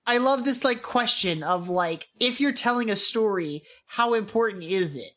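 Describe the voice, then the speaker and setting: A woman's voice: medium pitch, expressive, moderate pace, a bit bright. A woman co-hosting a podcast, chatting casually and eagerly with frequent likes and restarts. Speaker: podcast co-host. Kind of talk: casual chat.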